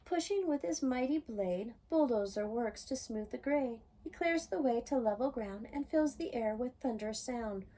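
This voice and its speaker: A woman reading a children's bedtime picture book aloud in a gentle, singsong way. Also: a little high, animated, normal speed, hardly any pauses, a little hiss or hum. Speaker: children's storytime reader.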